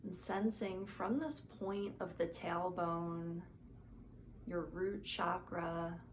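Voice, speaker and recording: A woman gently guiding a meditation as a teacher, in a soothing, soft and unhurried manner. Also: on the low side, gravelly. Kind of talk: guided meditation instruction. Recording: faint background noise.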